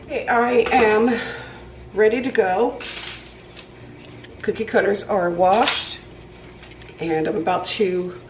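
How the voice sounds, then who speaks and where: A nasal, congested woman talks loosely to the camera as she works in her home kitchen. She rambles with fillers and restarts, her pitch sitting in a middling range. Clear kitchen noise and clatter run underneath. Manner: rambling, with many ums and restarts. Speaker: vlogger. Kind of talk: casual chatty cooking vlog. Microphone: camera propped on the counter.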